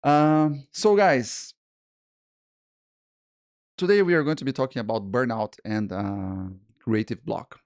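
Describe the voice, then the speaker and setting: A man in his forties, a native Portuguese speaker with a Portuguese-speaking accent, hosting a casual solo talk. He speaks haltingly in English, restarting and repeating words and often checking in with "okay?" and "guys". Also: mid-range voice, darkish tone, no echo, studio quality. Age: 40s. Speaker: freelance illustrator and podcast host.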